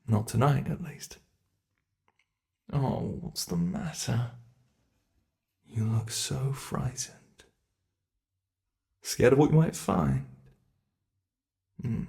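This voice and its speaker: A man's voice: mid-range voice, drawn-out words, vocal fry, long pauses, dark tone. A man voicing an ancient, playful monster under the bed, with a British accent, teasing and menacing in a whispery ASMR roleplay.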